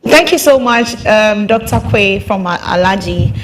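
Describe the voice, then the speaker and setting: A woman's voice: mid-range voice, animated, measured pace, slight background noise. A woman co-hosting a live broadcast, talking in a loose conversational way with many fillers. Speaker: radio talk show host.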